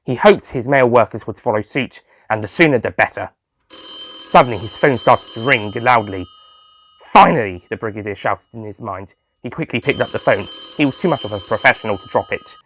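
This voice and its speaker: A man's voice: fairly low pitch, brisk, fairly bright tone, slight background noise. A man reading scripted story narration as the narrator of an audio tale. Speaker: author and narrator.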